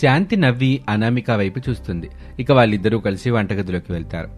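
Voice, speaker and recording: A man's voice: lowish voice, expressive, fast. A man reading scripted story narration as the narrator. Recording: music bed under voice. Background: background music.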